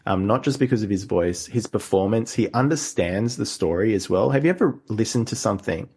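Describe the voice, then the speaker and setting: A man with a fairly low voice chats as a podcast co-host. He sounds earnest and leans on repeated phrases to make his points. The style is loose and conversational. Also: animated, brisk, a little mellow, husky. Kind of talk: casual podcast discussion.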